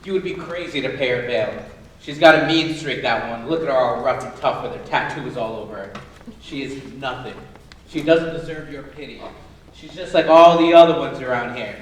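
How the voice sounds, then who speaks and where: A man's voice: medium pitch, normal speed, natural voice. A man playing a brusque, scornful, impatient jail guard in a staged reading. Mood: contemptuous. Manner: brusque, scoffing. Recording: echoey room from far off, faint noise.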